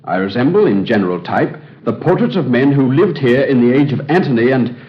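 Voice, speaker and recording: A man's voice: mid-range voice, animated, fairly quick, lightly acted. Through a muffled old radio recording comes a man acting a troubled English Egyptologist. He is earnest and formal, his agonized delivery rising and falling dramatically, in the manner of vintage radio drama. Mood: pleading, fervent. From England. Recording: dull, band-limited old radio recording.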